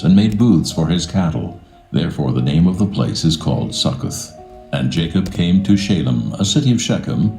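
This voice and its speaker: Very deep, gravelly male narrator. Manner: solemn, measured. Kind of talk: dramatised scripture narration. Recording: roomy echo, soft music behind.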